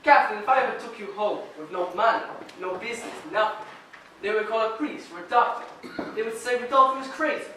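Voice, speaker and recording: A man's voice: high-pitched, bright, forceful tone, slight background noise. A man playing an earnest young immigrant. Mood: gentle. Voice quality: halting immigrant English, measured phrasing. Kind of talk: stage play acting. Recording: echoey room, voice from far off.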